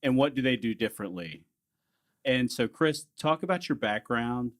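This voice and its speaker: A man's voice: mid-range voice, expressive, normal speed. A man hosting a podcast, chatting casually and rambling with restarts as he brings on a guest. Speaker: podcast host. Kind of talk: casual interview chat.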